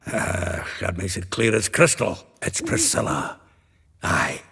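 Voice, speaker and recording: An actor playing a hearty, blunt dwarf in a fantasy game, a raspy voice and a jovial, colloquial manner. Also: male voice, low-pitched, sing-song, slow pace, fairly bright tone, heavily acted. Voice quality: gravelly, raspy. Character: hearty, blunt, earthy male dwarf friend. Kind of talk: fantasy video game dialogue.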